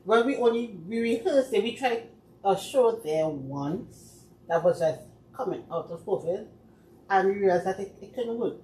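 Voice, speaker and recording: A woman explains things in a calm, matter-of-fact way. Her voice sits at a middle pitch. It is recorded in a roomy space with a faint hiss. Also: moderate pace. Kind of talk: casual talk show chat. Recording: roomy sound with faint noise.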